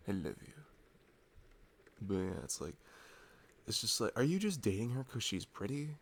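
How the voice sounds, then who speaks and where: Low, creaky young boyfriend, acted by a man. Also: very animated, a little hiss or hum. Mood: affectionate, earnest. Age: young adult. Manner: rambling, halting, chuckling asides. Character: sweet, introverted college-age boyfriend, affectionate and chatty. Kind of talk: intimate ASMR boyfriend roleplay, casual rambling.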